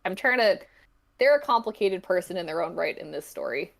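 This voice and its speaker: A woman's voice: lowish voice, lively intonation, fairly quick, gravelly. A woman speaking as a podcast guest, explaining their own creative work in a chatty, informal way. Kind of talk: casual interview chat about their own story.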